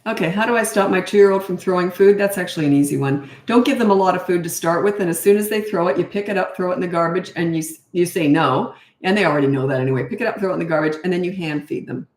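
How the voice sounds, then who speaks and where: A middle-aged woman coaching parents on a casual livestream, giving blunt, practical advice with chatty asides while her voice grows slightly hoarse. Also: fairly low pitch, fast talker, hardly any pauses, a little mellow, roomy sound.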